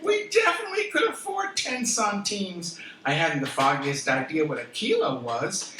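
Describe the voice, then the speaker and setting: An older American woman reading her memoir aloud as a theatrical, expressive storyteller, with emphatic pauses. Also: on the low side. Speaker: author reading from own memoir. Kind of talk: dramatic live storytelling reading. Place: room in a historic house, before listeners. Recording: roomy, distant mic with background noise.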